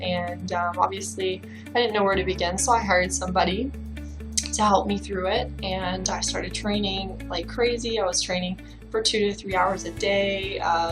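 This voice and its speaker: A young woman from the Bahamas who works as a fitness trainer tells her own story straight to the camera, easygoing and reflective, leaning on plenty of fillers. Her voice sits at a middle height, and clear music plays under her. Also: medium pitch. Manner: casual and reflective, with many fillers.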